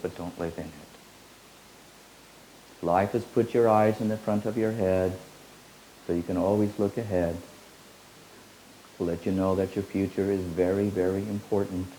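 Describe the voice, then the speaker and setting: A man giving a slow, meditative spiritual teaching, repeating his phrases in an incantatory way. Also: low-pitched, level tone, mellow, slight background noise, rough recording.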